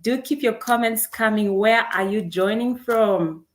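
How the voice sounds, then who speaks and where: A woman's voice: a little high, unhurried. Young woman from zambia running a webinar, cheery and welcoming, calls out chat names. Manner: upbeat, welcoming, reads chat names aloud. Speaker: online event host. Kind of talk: live webinar hosting.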